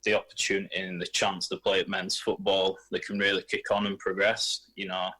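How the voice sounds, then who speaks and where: A man's voice: low voice, monotone, fairly quick, bright, forceful tone. A man in his twenties, a footballer, answering questions in a casual radio interview. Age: 20s.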